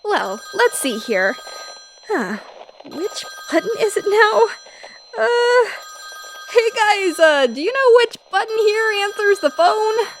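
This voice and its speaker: A woman performs a feisty young newswoman in 1940s radio style. Her voice sits high and swings widely in pitch. She is brash and defiant, and gets flustered easily. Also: very animated, a little hiss or hum, full character voice, poor audio. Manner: brash, quick to get flustered. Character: feisty young female newspaper reporter, flustered but defiant. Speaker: reporter filling in as radio host. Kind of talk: 1940s radio drama acting.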